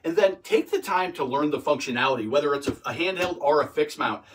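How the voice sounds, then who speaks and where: A man's voice: fairly high pitch, lively intonation, fast talker, recorded from a distance. A man giving informal, practical instruction like a boating teacher, repeating key points for emphasis. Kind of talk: informal instructional video talk.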